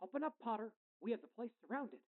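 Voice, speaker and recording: A man's voice: very high-pitched, lively intonation, quick pace. A man playing a chipper, rambling, self-promoting FBI agent in a comic radio play, earnestly corny. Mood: commanding. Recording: thin, phone-like sound.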